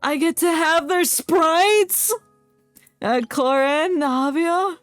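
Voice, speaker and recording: A woman's voice: very high-pitched, slow, no echo, faint music behind. Woman, game streamer, leisurely pace, offhand commentary, close dry mic, wry asides. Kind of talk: casual live game commentary.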